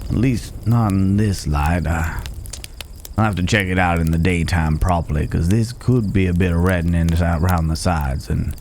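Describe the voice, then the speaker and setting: A man playing a calm, protective cowboy stranger in an ASMR roleplay, talking in an unhurried, folksy way with hesitant pauses and gentle teasing. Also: low-pitched, a little hiss or hum. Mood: concerned, reassuring. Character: gruff but caring male cowboy stranger, calm and protective. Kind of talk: immersive ASMR roleplay acting. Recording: outdoor night ambience with chirping crickets.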